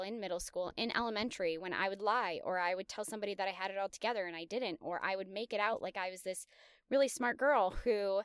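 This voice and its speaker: A woman's voice: medium pitch, a bit bright, clear, resonant tone, no room sound, clean studio sound. A woman in her thirties hosting a podcast, telling her own story in a candid, confessional, self-reflective way. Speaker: podcast host. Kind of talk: personal storytelling monologue.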